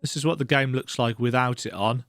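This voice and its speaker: A man's voice: mid-range voice, a little fast, a bit bright, dry recording. A man giving casual, conversational commentary while playing a video game.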